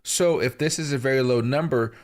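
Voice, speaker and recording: A man hosting a live market stream, his voice hoarse from a chest infection. He talks casually and without hurry, pausing often and filling gaps with ums and uhs, at a mid pitch typical for a man. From Seattle area, US. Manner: casual, unhurried, with pauses and frequent fillers. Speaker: crypto market livestream host.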